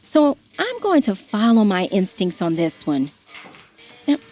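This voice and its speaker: An actress playing an ambitious, chummy television news anchor in an audio drama. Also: female voice, medium pitch, huge pitch swings, measured pace, darkish tone, soft background music. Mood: determined.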